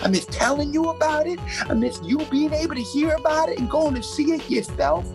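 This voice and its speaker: A woman's voice: high voice, expressive, brisk, music in the background. A woman playing a lonely, overwrought local radio reporter, giving a rambling, emotive comic announcement. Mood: plaintive. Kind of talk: comic public service announcement monologue.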